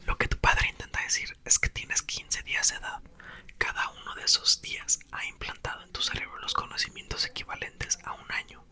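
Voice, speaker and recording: A man whispering as a gentle, hesitant mother. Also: a little fast. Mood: tender. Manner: soft, explanatory whisper.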